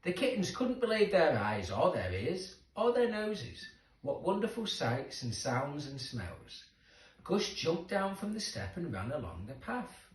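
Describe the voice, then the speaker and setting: A man's voice: a little high, huge pitch swings, unhurried. A man narrating a children's story expressively, with dramatic emphasis for young listeners. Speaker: storybook reader. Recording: roomy echo, distant microphone.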